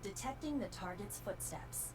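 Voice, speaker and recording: A woman playing a calm, analytical AI partner in a video game, formal and measured, with dry bluntness. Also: mid-range voice, noisy background, natural voice. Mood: instructing. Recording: game audio under loud music. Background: game music.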